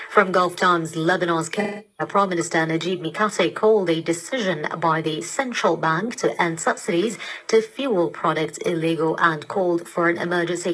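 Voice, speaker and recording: A woman with a fairly low, distinctly husky voice reads a scripted news bulletin. She presents in a formal, measured way, as heard over a shortwave digital radio broadcast. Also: fairly bright tone, low-quality recording. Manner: formal, measured headline delivery.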